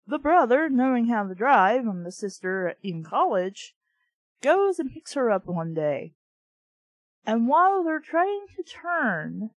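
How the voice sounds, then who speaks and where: A woman's voice: high voice, no room sound, rough recording. A woman reading a story aloud with sweary, sarcastic, exasperated commentary of her own. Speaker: reader and reviewer of children's books. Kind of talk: audiobook-style reading with sardonic ad-lib commentary.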